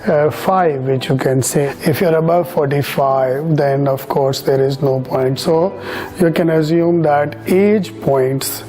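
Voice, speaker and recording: A man with a fairly high, mellow voice explains things step by step like a consultant giving a presentation. He talks straight to the listener, pausing often on "uh" and going back over figures. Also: fairly even intonation, soft background music. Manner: halting, many "uh" fillers, repeats numbers; patient, addresses the viewer directly. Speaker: immigration consultant.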